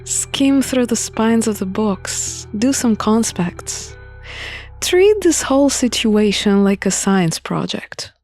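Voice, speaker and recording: A woman reading a visual novel aloud and commenting on it as she plays, in a deliberate manner. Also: on the high side, lively intonation, a little mellow. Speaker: game playthrough narrator. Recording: music under the voice.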